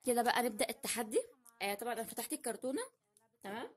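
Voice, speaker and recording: A woman's voice: a little high, very fast, husky. A young woman with an Egyptian Arabic accent, chatting casually and playfully as the host of a home challenge video. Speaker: vlog host. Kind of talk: casual unboxing challenge chat. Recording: noisy home recording, crinkling packets.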